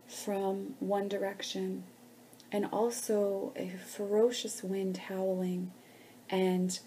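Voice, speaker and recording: A woman leading a guided meditation in a gentle, hushed, soothing manner with pauses between phrases. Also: mid-range voice, a little hiss or hum, low-quality recording.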